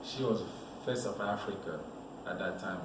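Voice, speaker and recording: Male model from Cameroon, interview guest; low voice, loose storyteller, distant mic, roomy and noisy. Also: normal speed. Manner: relaxed, rambling storyteller with restarts. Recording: echoey room, voice from a distance, noisy background.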